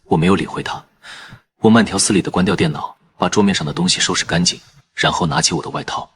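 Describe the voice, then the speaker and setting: A man narrating in the first person as a calm surgeon, in measured scripted narration. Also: low-pitched, a little hiss or hum. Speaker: narrator and lead character, a surgeon.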